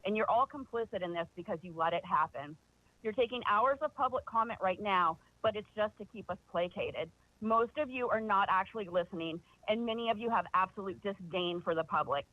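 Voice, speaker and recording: A man calling in to give a sharp, sarcastic, accusatory public comment. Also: high-pitched, fairly quick. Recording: dry muffled phone line.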